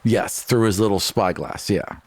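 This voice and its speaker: A man's voice: lowish voice, unhurried. A man casually narrating his character's actions as a player in a tabletop game.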